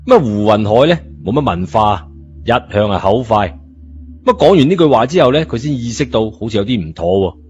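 A man's voice: medium pitch, lively intonation, brisk, darkish tone. A man with a Cantonese accent narrating a scripted story in a colloquial style, as an audiobook reader. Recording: muffled narration over music bed.

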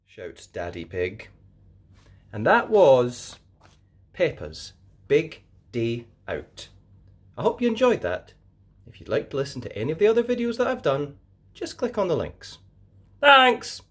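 A woman storyteller reads aloud for small children. Her pace is slow and her pitch swings widely, with expressive, singsong emphasis. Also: breathy edge.

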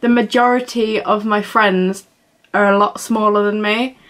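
A woman's voice: medium pitch, steady tone, unhurried, a bit bright. A young woman, chatting casually to camera in her bedroom, giggling and rambling with lots of fillers. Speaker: vlogger. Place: small bedroom indoors.

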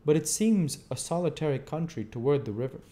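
A man doing a sly, cunning stranger, higher. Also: expressive, fairly quick, dark tone, close to an everyday voice. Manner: probing, sly questions. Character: secretive cunning male stranger in a pub.

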